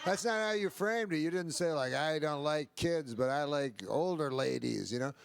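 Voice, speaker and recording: A man with a slightly high voice talks in casual comic back-and-forth. He presses his points insistently and argues, swearing freely. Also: moderate pace, creaky, smooth, no echo. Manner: argumentative, insistent, profane. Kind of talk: casual comedic banter.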